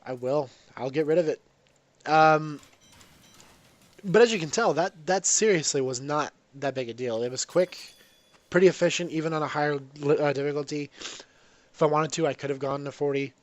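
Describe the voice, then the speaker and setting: A man's voice: fairly high pitch, normal speed. A man with a congested voice from a cold, casually commenting on his own gameplay with lots of ums and restarts. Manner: rambling, self-correcting, frequent ums.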